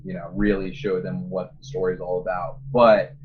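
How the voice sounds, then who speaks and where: A man's voice: low voice, little pitch movement, moderate pace. A man talking casually and conversationally as a game developer being interviewed. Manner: informal, conversational. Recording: dull lo-fi voice with faint noise.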